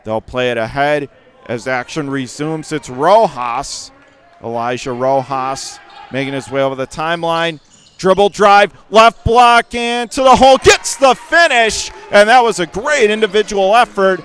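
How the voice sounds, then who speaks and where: A man calling live radio basketball play-by-play in clipped phrases that can burst into excited calls. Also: on the high side, unhurried, a little hiss or hum. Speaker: play-by-play announcer. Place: courtside in a high school gym during a game.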